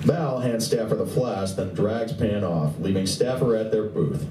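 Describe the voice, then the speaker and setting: A man's voice: fairly low pitch, a little slow. A man reading scripted narration for a live radio play. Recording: roomy, distant recording with faint noise.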